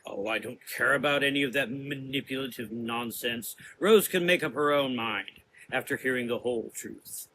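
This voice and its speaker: A man playing a menacing, cerebral gothic sorcerer in an audio drama, lecturing deliberately in drawn-out, taunting phrases. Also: medium pitch, pushed and bright, a little hiss or hum, poor audio. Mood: accusing.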